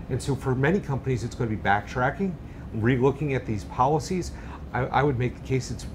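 A man's voice: medium pitch, noisy background. A man on a business panel in a studio, speaking reflectively and conversationally. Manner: reflective, conversational, uses "you know". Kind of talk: panel discussion.

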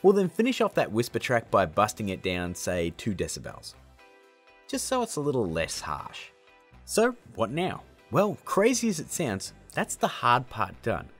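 Male how-to host, casual and upbeat, highly varied intonation, a touch of rasp. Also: wildly swooping pitch, moderate pace, fairly bright tone, soft background music. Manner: upbeat, chummy, addressing viewers as guys and gang. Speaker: tutorial host. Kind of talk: casual how-to tutorial narration.

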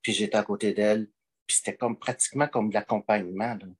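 A man's voice: lowish voice, steady tone, fast, breathy edge. A man, calling in and talking in hesitant, rambling stretches full of fillers. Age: late 40s. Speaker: caller. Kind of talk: call-in conversation.

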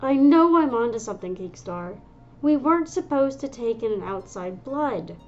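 A woman's voice: on the low side, unhurried, a little mellow, dull audio, slight background noise. A woman voicing Dustbriar, a stubborn young she-cat. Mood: heated. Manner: agitated, heated. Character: young female medicine cat, stubborn, sour.

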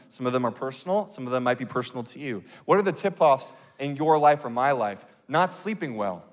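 A man in his thirties preaching a sermon on a church stage into a handheld mic, earnest and conversational, with quick bursts and restarts. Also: fairly high pitch, long reverb tail. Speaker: pastor.

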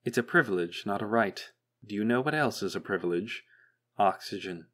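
A man's voice: on the low side, expressive, moderate pace. Man voicing a sarcastic, menacing female machine overseer. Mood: cold menace. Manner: sharp, condescending, threatening.

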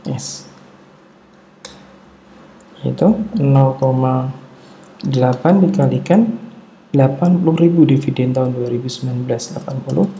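A man with a very dark, muffled voice and a gravelly creak walks through a worked exercise like an instructor. He speaks haltingly and deliberately, thinking aloud. The room adds noticeable echo. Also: mid-range voice. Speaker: accounting instructor. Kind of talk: step-by-step spreadsheet tutorial narration. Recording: roomy echo, dull muffled tone, faint noise.

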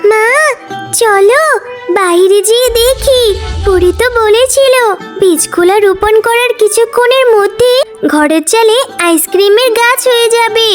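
A little girl fox cub in a children's cartoon. The voice is extremely high, thin and childlike, earnest and pleading, with clear music playing behind it. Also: measured pace. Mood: excited, happy.

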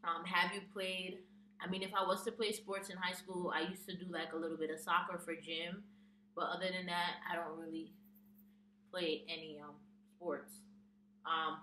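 A woman's voice: lowish voice, bright, forceful tone. Chatty young woman vlogging in an echoey room. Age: young adult. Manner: chatty, reads questions off her phone, many ums. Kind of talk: casual tag video chat. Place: small room with a plain wall, indoors. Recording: echoey room, distant mic, faint hum.

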